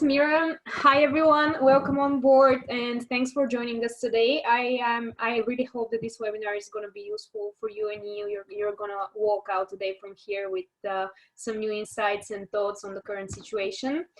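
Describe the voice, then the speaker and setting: A woman's voice: fairly high pitch, poor audio. A woman co-presenting a business webinar over a video call, welcoming and friendly as she introduces herself.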